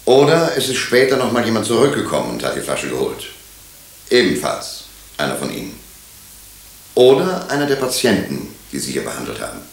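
Police inspector: lowish voice, courteous but probing, methodical, roomy radio-play sound. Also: male voice, huge pitch swings, gravelly, heavily acted. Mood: grave, formal. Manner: formal, probing, deliberate. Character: methodical, courteous male police inspector questioning murder suspects. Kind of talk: German radio drama acting. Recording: roomy, echoing radio drama studio.

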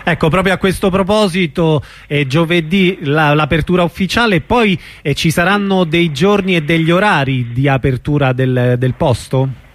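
A man hosts a radio interview in a fairly high-pitched voice. His delivery is conversational and informal, as an interviewer talking with a guest. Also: moderate pace, no room sound.

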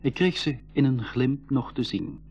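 A man's voice: medium pitch, animated, gravelly, darkish tone, husky, faint music behind, exaggerated voice. A man playing a sensible, earnest boy who tells his adventure in a 1980s school radio play. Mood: earnest narrating. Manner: measured, earnest storytelling. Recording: dull, band-limited old radio recording.

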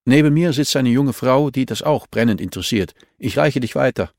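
A man's voice: mid-range voice, expressive, moderate pace, a little mellow, no echo, clean studio sound, voice pushed up, close to an everyday voice. Man playing a terse, guarded investigator. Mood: businesslike.

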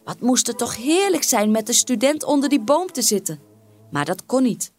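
A woman reading a fairy tale as an expressive storyteller, with dramatic, deliberate phrasing. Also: fairly high pitch, faint music behind.

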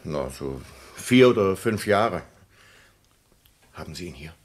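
An actor playing a wary, uneasy French sailor in a German radio play, hesitant and guardedly polite. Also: male voice, sits low, expressive, slow pace, heavily acted.

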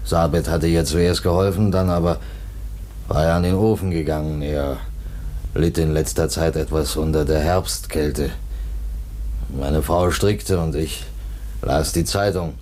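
An actor playing a grave family man who speaks haltingly and solemnly in a German radio drama. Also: rumbling low, steady tone, mellow.

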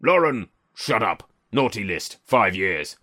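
A man's voice: big pitch swings, takes it slowly, sharp tone, full character voice. A man voicing a smug, mocking male Santa who bosses everyone around and prefers to be called Lucifer. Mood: smug, bossy. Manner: booming, bossy, cuts others off. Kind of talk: comedy sketch narration.

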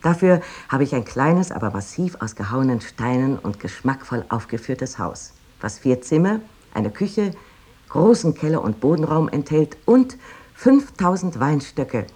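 An actress playing a proud, delighted 19th-century woman writer, reading out her letter in precise, expressive, old-fashioned literary diction. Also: a bass voice, normal speed, husky, exaggerated voice. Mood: proud, pleased.

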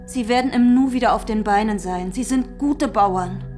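A woman's voice: fairly high pitch, normal speed, music in the background, close to an everyday voice. Actress as earnest, defiant country woman. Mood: subdued, pleading. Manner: earnest, insistent. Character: anxious, defiant farm woman bargaining with an official. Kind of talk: German radio drama acting.

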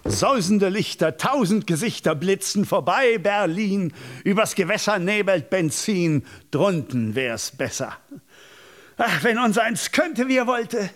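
An actor playing a high-strung Berlin radio reporter in a German radio drama, declamatory and effusive, sometimes halting. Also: male voice, lively intonation, a bit bright, no room sound. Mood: rhapsodic. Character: high-strung, florid Berlin radio reporter, self-doubting, trapped in studio.

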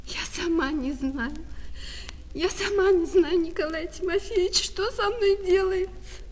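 High-voiced unhappy young seamstress. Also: female voice, unhurried. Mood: distraught, pleading. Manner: hesitant, plaintive. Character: unhappy lovelorn seamstress customer, distraught and timid. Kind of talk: Russian radio play of a classic short story. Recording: long reverb with music behind.

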